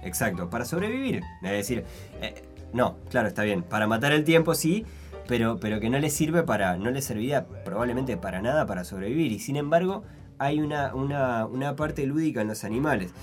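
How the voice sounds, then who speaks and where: Guy thinking out loud, lots of stops and restarts, faint guitar behind. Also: mid-range voice, animated, fairly quick. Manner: reflective, hesitant, many restarts. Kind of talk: casual chat.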